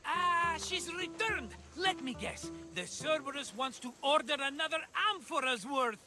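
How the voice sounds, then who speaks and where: A man playing an excitable, scheming merchant in a video game, chatty, dramatic and wheedling. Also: very high-pitched, measured pace, over music, full character voice. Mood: flustered. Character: excitable scheming cheerful male merchant, always broke. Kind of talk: video game cutscene acting.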